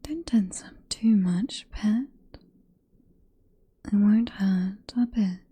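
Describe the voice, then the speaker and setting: Woman acting as a british vampire professor, asmr whisper, super breathy n slow, possessive and teasing. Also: medium pitch, warm tone, full character voice. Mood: seductive, teasing. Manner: slow, coaxing, condescendingly affectionate.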